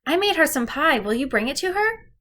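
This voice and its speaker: A woman's voice: high voice, very animated, fairly quick, bright, forceful tone, voice pushed up, exaggerated voice. A woman voicing a caring, coaxing fairy-tale mother in a storytelling lesson. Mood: caring request. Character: caring mother asking her daughter a favor.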